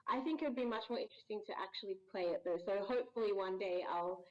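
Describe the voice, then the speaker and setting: A woman's voice: mid-range voice, quick pace. Chatty woman on a muffled call. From Australia. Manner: warm, chatty, laughs easily, many ums. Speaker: guest voice actor. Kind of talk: casual interview chat.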